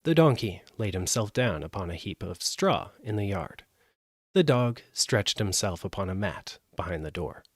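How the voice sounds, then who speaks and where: A man narrating a fairytale as a live audiobook, with an expressive, measured delivery. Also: low voice, dry recording, clean studio sound. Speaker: storyteller.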